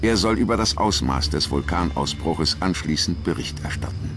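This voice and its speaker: Deep-voiced male documentary narrator. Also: lively intonation, moderate pace. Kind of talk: scripted narration.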